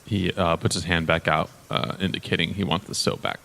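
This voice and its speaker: A man with a deep, low-pitched voice casually improvises narration as a player in a tabletop game. The sound carries long reverb. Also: fairly even intonation, brisk, gravelly, sharp tone.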